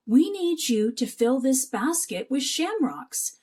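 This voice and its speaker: A woman's voice: sits high, very animated, slow pace, dark tone, full character voice. A woman voicing a hospitable, kindly aunt mouse in a storybook, welcoming and explaining things.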